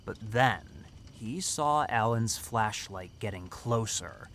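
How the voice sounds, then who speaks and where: A man's voice: fairly low pitch, animated, slow pace, fairly bright tone, slight background noise, exaggerated voice. A man playing a cocky, teasing teenage camp counselor who tells stories dramatically in an audio drama. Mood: suspenseful storytelling. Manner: teasing, dramatic storytelling delivery. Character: cocky teenage summer camp counselor telling a scary story.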